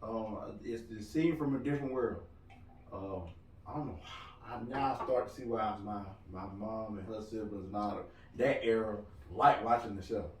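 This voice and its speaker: A married man co-hosting a casual podcast chat, loud-voiced, joking and rambling as he talks. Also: lowish voice, moderate pace, a little hiss or hum. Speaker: podcast co-host. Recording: roomy room, mic at a distance.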